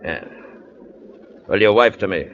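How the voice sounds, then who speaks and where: A boastful pirate captain from a vintage radio play. He is gruff and menacing, with a deep voice and a slow, swaggering delivery. The old recording is muffled and hissy. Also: animated. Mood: gruff courting. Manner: gruff, swaggering, drawn-out speech. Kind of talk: old-time radio drama acting.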